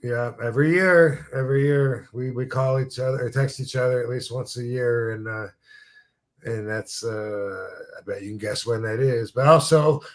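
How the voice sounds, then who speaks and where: A man's voice: mid-range voice, normal speed. A man in his fifties talks through a casual podcast chat. He rambles in a laid-back way, trails off into fillers and swears freely. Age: 50s. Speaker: podcast host, former wrestler.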